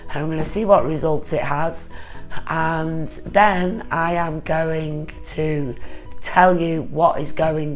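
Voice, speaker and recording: A hoarse woman with a cold-roughened voice speaks in a low register. She chats casually and explains each step as she goes. Faint music plays in the background. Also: poor audio. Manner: chatty, explains step by step while counting drops. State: hoarse, losing her voice with a cold. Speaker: aquarium hobbyist vlogger. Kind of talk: casual tutorial demonstration.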